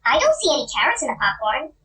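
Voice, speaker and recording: A woman voicing an excitable, grumpy young rabbit for a children's storybook, with a fussy, whiny, exclamatory delivery. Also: high voice, fast, roomy sound, far from the mic, heavy background noise, pitched above the performer's own voice. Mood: indignant. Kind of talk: children's read-aloud character voice.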